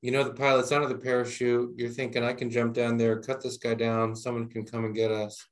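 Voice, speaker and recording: A man's voice: medium pitch, monotone, a little fast. A man interviewing someone conversationally, recapping and checking the story step by step.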